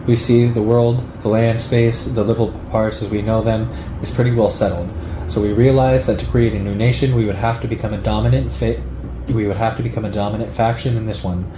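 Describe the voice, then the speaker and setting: A man with a fairly low voice reads a political essay aloud. His delivery is measured and lecturing. The recording is echoey, with clear background noise. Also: little pitch movement. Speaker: narrator reading a political text aloud.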